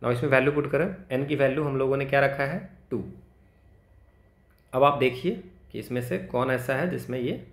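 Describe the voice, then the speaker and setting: A man's voice: mid-range voice, fairly even intonation, moderate pace, reverberant. A man tutoring math, solving exam problems aloud, thinking through steps and correcting himself.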